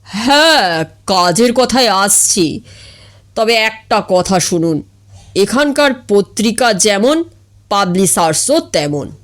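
A woman's voice: mid-range voice, animated, takes it slowly. A woman reading a storybook part as a boastful, self-important middle-aged man who brags about himself.

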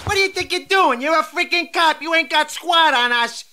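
Casting: excitable New York gangster, high-pitched, sharp, whiny and hot-tempered, for a crime video game. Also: male voice, normal speed, a little hiss or hum, full character voice. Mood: outraged. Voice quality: shrill, strained. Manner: ranting, excitable. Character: panicky, whiny, foul-tempered male mobster.

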